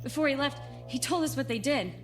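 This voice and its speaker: An actress in an audio drama playing an anxious, urgent lab researcher. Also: female voice, high voice, brisk, natural voice. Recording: music under the voice.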